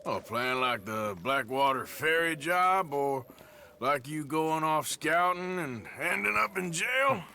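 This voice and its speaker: An actor playing a gruff, sardonic American cowboy outlaw in a video game cutscene, with a dry, mocking manner. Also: male voice, a little high, lively intonation, a little slow, sharp tone, a little hiss or hum, full character voice.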